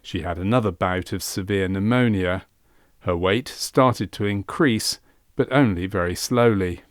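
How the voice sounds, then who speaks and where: A man reading scripted documentary narration for a medical training film in a measured, factual manner. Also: deep voice, no room sound, studio quality. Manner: measured, factual delivery of clinical case history.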